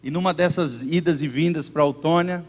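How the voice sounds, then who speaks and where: A man's voice: fairly high pitch, moderate pace. A fervent male pastor speaks in a slightly raised voice, repeating phrases as he introduces a guest and interprets live. The room lends a long church reverb to every line. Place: church service.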